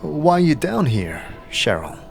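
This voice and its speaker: A man's voice: fairly high pitch, big pitch swings, unhurried, creaky, faint music behind, full character voice. A man playing a scarred, reserved but sympathetic wanderer who asks brief questions in a fantasy audio drama. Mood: concerned.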